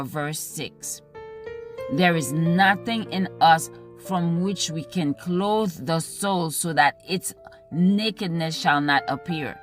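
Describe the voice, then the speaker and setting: A woman reading a devotional text and scripture in a measured, deliberate manner, pausing between phrases. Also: deep voice. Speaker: devotional reader. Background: music under the voice.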